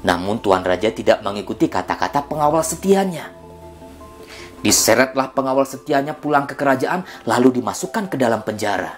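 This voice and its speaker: A man telling a children's story in a deliberate, dramatic manner, ending with a moral lesson. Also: medium pitch, faint music behind. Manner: expressive, deliberate, with dramatic emphasis.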